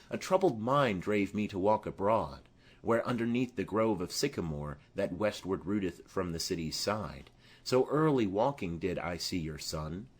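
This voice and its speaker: A man's voice: lowish voice, breathy edge, pitched below the performer's own voice. A man playing an earnest young nobleman and peacemaker, speaking formal Shakespearean lines. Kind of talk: Shakespearean audiobook acting.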